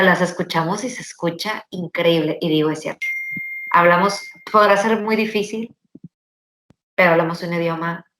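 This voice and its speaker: A woman chats casually and tells animated stories, leaning on fillers as she goes. Her voice is bright, and the room around her is echoey. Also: on the low side. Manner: animated storytelling, many fillers. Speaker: podcast host. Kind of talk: casual chat.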